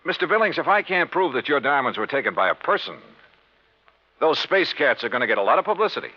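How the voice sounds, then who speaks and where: An actor playing a skeptical, wisecracking, plain-spoken insurance investigator in a 1950s radio drama. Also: male voice, medium pitch, sing-song, quick pace. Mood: skeptical, probing. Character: wry, skeptical hardboiled male insurance investigator. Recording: dull, slightly noisy old radio recording.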